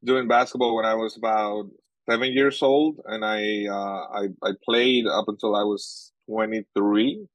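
A young Venezuelan man, a native Spanish speaker, answering interview questions about his sports journalism work, pausing often with fillers and restarts. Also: lowish voice, steady tone, dry recording, poor audio. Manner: halting, many fillers and restarts.

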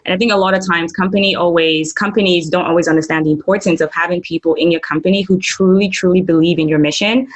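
A woman's voice: lowish voice, steady tone, fast, hardly any pauses. A young woman from Nigeria, a guest in a casual interview, talking reflectively with many fillers.

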